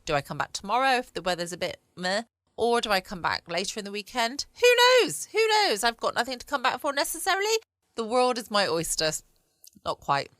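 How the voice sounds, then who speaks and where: A woman chats casually as a late-night podcast host. Her bright voice rises and falls freely as she muses aloud. The sound is close and dry. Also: fairly high pitch, sing-song, a little fast, dry recording. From United Kingdom. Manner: rambling, musing aloud. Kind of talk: casual bedtime chat. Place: quiet room at home. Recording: close, dry home recording.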